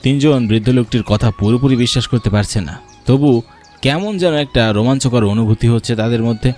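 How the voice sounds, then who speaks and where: A man's voice: medium pitch, fairly quick, dark tone, soft background music. A man reading scripted narration for a story.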